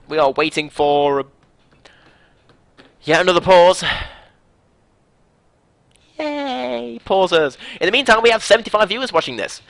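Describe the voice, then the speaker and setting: A man's voice: a little high, pushed and bright, dry recording. A young British man casting a live esports match, upbeat and rambling, joking between rounds on a headset mic. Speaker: esports caster. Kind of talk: live game commentary.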